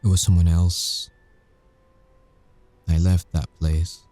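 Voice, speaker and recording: A man playing a cocky but haunted werewolf soldier, telling his story in a wry, measured way with dramatic pauses, in an ASMR audio roleplay. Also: deep voice, little pitch movement, warm tone. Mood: bitter, weary.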